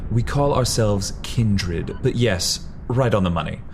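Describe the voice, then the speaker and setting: A man acting as a cool, world-weary vampire in an audio drama, speaking fairly low. He is dry and condescending, with old-fashioned slang and faint noise behind him. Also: expressive, measured pace, vocal fry, darkish tone. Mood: wry, menacing. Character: cool, sardonic male vampire in a suit with revolver.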